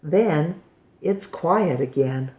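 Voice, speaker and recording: A woman reading a children's picture book aloud in a measured, gently expressive way. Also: deep voice. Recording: roomy, echoing indoor sound.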